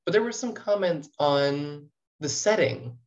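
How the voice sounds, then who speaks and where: A woman's voice: low voice, animated, measured pace, darkish tone. A young Canadian woman from small-town New Brunswick chatting casually as a podcast guest, playful and self-deprecating. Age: young adult. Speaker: student writer, podcast guest. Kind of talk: casual interview chat. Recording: dull lo-fi podcast audio.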